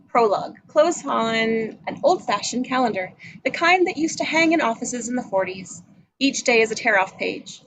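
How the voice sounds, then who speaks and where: Higher-pitched woman reading a script aloud. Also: fairly bright tone, rough recording. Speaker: stage directions reader. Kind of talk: reading screenplay credits and descriptions. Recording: faint background noise.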